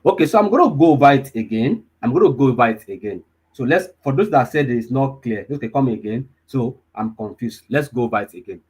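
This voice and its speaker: A young man walks a live online class through a lesson, his voice husky and a little rough around the edges. He keeps repeating key points and asking if everyone is following, and his pitch sits squarely in the middle range for a man. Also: lively intonation, quick pace, a little mellow. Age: 20s. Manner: repetitive, checks in with listeners often. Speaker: data analysis instructor.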